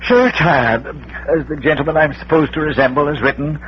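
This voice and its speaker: A man's voice: fairly high pitch, normal speed, natural voice. A man playing an erudite, droll schoolmaster sleuth in 1940s radio drama style, wordy and theatrical. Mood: weary, declaiming. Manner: urbane, wordy, theatrical, dry wit. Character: erudite, droll bearded schoolmaster and amateur detective. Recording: muffled, hissy vintage radio recording.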